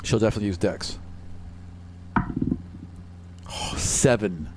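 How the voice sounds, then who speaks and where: A man's voice: fairly low pitch, a little fast, heavy background noise. A man running a tabletop game as game master, narrating and chatting casually with his players. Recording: music playing under the voice. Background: background music.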